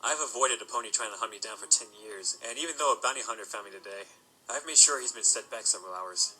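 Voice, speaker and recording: Man acting a fast-talking, guarded young knight apprentice. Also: on the low side, bright, forceful tone, soft background music, rough recording. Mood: cold, sardonic. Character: guarded young male pony knight apprentice, wary and sardonic. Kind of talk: audio drama acting.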